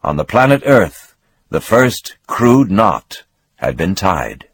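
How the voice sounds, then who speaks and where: A man giving a scripted, dramatic reading of a novel as narrator, expressive with swelling emphasis and a husky voice. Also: low voice, slow pace, no room sound.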